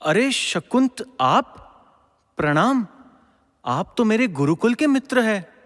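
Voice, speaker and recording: An actor playing a benevolent, dignified king in a mythological audio drama, with a regal, measured manner. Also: high-pitched, very animated, fairly bright tone, heavily acted. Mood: commanding. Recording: long hall-like reverb.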